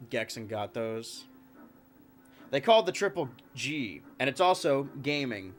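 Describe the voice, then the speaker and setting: A man's voice: mid-range voice, expressive, measured pace, faint music behind. A man live-streaming from home with a stuffed-up nose, chatting and joking with his viewers and his cats. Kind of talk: casual live-stream chat.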